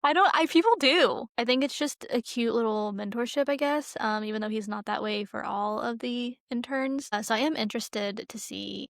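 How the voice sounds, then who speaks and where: Female co-host, fairly high voice, informal conversational delivery, dry recording. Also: moderate pace, gravelly, hardly any pauses, no room sound. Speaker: podcast co-host. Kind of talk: casual chat about a TV show.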